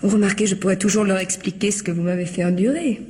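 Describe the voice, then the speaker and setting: A woman's voice: mid-range voice, fast, darkish tone, close to an everyday voice. An actress playing a vengeful widow who taunts her in-laws with composed, mocking politeness in a French radio mystery. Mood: taunting, triumphant.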